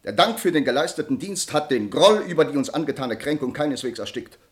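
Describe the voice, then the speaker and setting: A man's voice: fairly high pitch, animated, fairly quick, lightly acted. Man acting an earnest nobleman with sweeping delivery. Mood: pleading, urgent. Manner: formal, eloquent, earnest. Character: honor-bound young nobleman, grateful, earnest, passionately restrained. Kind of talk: German radio play acting of classic drama.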